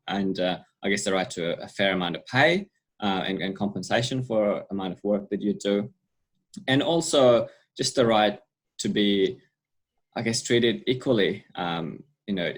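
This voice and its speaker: A man from Afghanistan, a youth programs coordinator, laying out his points one by one in a panel discussion. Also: deep voice. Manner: methodical, lays out points in order.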